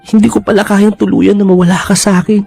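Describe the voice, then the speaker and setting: An actor with a Filipino accent playing a hesitant young man confessing love in radio drama style, halting and pleading. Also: high-pitched, flat delivery, creaky, darkish tone, husky, soft background music, heavily acted. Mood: pleading, emotional.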